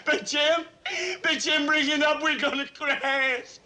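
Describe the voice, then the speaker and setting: An actor playing a terrified young airman reliving a plane crash, sobbing, shouting and pleading. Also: very high-pitched, fairly even intonation, slow pace, exaggerated voice. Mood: panicked.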